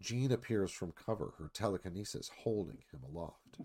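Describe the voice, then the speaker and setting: A man's voice: sits low, lively intonation, normal speed, mellow. A man narrating a screenplay's stage directions in a live radio-theatre reading.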